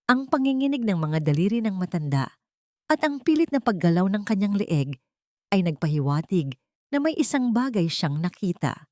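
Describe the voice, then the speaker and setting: A woman's voice: medium pitch, big pitch swings, normal speed, mellow, no echo, studio quality. A woman reading a scripted, dramatic story as its narrator.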